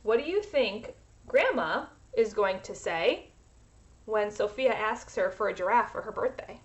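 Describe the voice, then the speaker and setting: A woman reading a children's storybook aloud in an expressive, teacherly way, pausing to explain words to young listeners. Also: mid-range voice, lo-fi sound.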